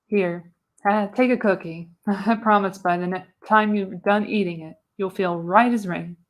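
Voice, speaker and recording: A woman's voice: mid-range voice, steady tone, a little slow, natural voice. Radio play role: older female seer, folksy, wry, knowing, acted by a woman at a table read. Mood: reassuring.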